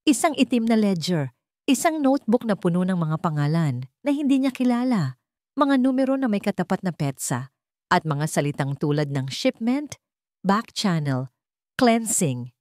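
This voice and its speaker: A woman's voice: lowish voice, a little mellow, no echo, studio quality. A woman narrator delivering a scripted dramatic love story in a suspenseful, emotive storytelling manner.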